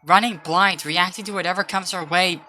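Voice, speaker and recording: Woman playing low, bright-voiced blunt loyal female comrade. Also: steady tone, a little fast, soft background music. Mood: firm, determined. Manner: firm, scolding yet earnest. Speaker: voice actor. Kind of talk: dramatic roleplay voice acting.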